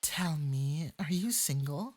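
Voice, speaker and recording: A performer with a light, breathy voice playing a clingy, lovestruck fox-spirit femboy mage in an intimate ASMR roleplay, coaxing sweetly and possessively. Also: mid-range voice, takes it slowly. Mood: possessive, calm. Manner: sweetly coaxing, possessive, swinging into eager excitement.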